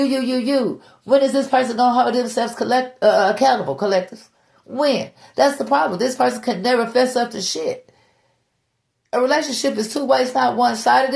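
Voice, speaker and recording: Blunt, gravelly-voiced woman tarot reader. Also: fairly low pitch, a little mellow. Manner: blunt, profane, preachy, repeats herself. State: stuffy nose. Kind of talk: tarot reading monologue.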